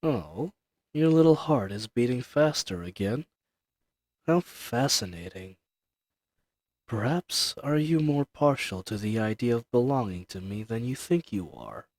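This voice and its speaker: A man's voice: medium pitch, sing-song, a little slow, a little mellow, dry recording. A man voicing an ancient dragon familiar in an intimate audio roleplay, speaking formally, possessive and seductive, condescending yet soothing. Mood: teasing, seductive. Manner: formal, archaic diction, soothing and teasing. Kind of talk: intimate scripted roleplay audio addressed to the listener.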